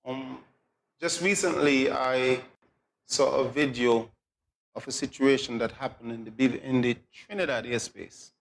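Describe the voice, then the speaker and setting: A middle-aged man, explaining things as an interview guest in a measured, phrase-by-phrase way. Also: medium pitch, steady tone, gravelly. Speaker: air traffic controller, interview guest. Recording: echoey room, light background noise.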